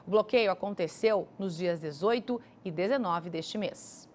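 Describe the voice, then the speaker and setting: A woman's voice: lowish voice, unhurried, a little mellow. Woman anchor reading the news. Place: TV news studio.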